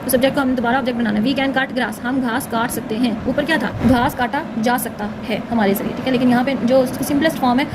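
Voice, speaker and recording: A woman's voice: high voice, steady tone, background noise. A woman giving a grammar lesson as a teacher, explaining step by step in an instructional way with carefully enunciated examples. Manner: instructional, slowly enunciates example sentences, frequent check-in tags.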